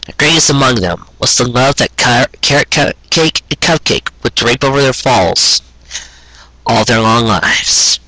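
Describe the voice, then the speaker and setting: Male story reader, earnest and dramatic, bright edgy tone, close dry sound. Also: medium pitch, unhurried, no echo, poor audio. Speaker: fan fiction reader and show host. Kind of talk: expressive narrated story reading.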